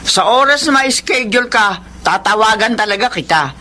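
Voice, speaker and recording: A woman's voice: a little high, lively intonation, normal speed, sharp tone, slight background noise. An actress playing a brash, sly woman broker in a radio drama, exclaiming and wheedling. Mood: smooth, sly. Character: brash, scheming woman broker named Pining, defensive and sly.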